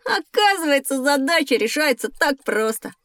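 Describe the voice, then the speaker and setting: Casting: eager schoolboy, high voice, dry studio sound, skeptical streak, for educational radio play. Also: female voice, expressive, normal speed, fairly bright tone, no room sound. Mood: eager, grateful. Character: curious, excitable schoolboy Petya, eager and skeptical. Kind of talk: scripted educational audio drama.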